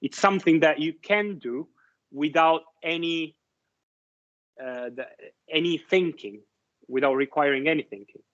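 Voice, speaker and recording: A man giving a university lecture, explaining ideas haltingly with many restarts and fillers, often checking with his listeners. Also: a little high, a bit bright, muffled recording.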